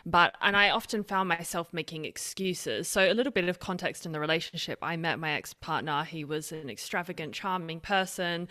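Guest, woman, 30s, mixed Australian-British accent, lowish voice, candid reflective storyteller. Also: fairly quick, few pauses, pushed and bright. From Australia and Britain. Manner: open, reflective storytelling with frequent restarts. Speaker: podcast guest. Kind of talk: conversational interview.

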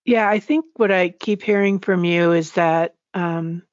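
A woman's voice: lowish voice, steady tone, a little slow, darkish tone, dry recording, highs cut off. Chatty woman interviewer on dry audio. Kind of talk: casual interview.

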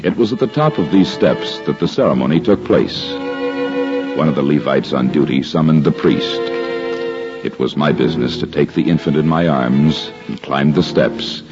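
An actor playing a gentle, devout husband recounting his memories in a solemn, measured, formal way in a 1950s radio drama. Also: extremely low pitch, lively intonation. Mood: reflective, solemn. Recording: dull 1950s radio recording, music behind.

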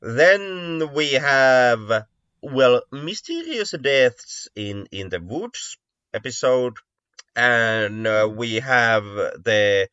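A man's voice: medium pitch, very animated, drawn-out words, pushed and bright, smooth. A man co-hosting a casual podcast discussion, stammering and often repeating words mid-sentence. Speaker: podcast co-host. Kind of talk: casual discussion of mystery stories. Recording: dull, lo-fi, roomy echo.

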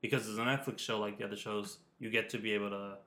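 A lowish male voice rambles through casual talk, sick with a cold and searching for words with frequent ums. The room around him is echoey and reflective. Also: fairly even intonation, fast, sharp tone. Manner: meandering, hesitant with many ums. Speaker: podcast co-host. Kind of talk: casual chat.

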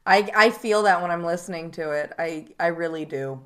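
A woman hosting a podcast, chatting casually and telling stories in a quick, animated way. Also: on the low side. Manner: quick, animated storytelling with laughs. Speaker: podcast host. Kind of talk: casual chat.

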